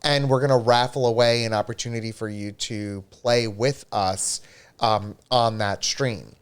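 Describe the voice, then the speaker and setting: A man's voice: mid-range voice, steady tone, measured pace, smooth. A man co-hosting a livestream, chatting casually with lots of fillers and an upbeat manner. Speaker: livestream co-host.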